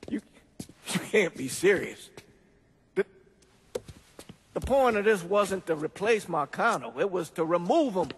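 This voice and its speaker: An older priest from the American South in a crime game. His voice is rough and husky, pitched slightly high. He speaks gravely and earnestly, with a halting, morally stern weariness. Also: expressive, full character voice. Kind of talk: dramatic video game acting.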